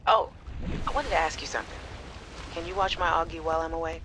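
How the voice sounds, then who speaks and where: An actress playing an affectionate, playful young scientist, chatting and teasing informally in a video game voice message. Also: female voice, low voice, fairly even intonation, fast, background noise, lightly acted. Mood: excited, affectionate.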